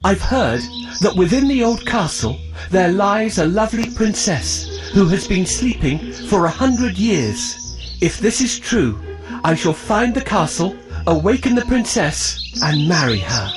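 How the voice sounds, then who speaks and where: A man's voice: high voice, sing-song, unhurried, music in the background. A man voicing an earnest, determined young prince in a children's storybook, with careful, declarative enunciation. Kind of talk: scripted children's storybook acting.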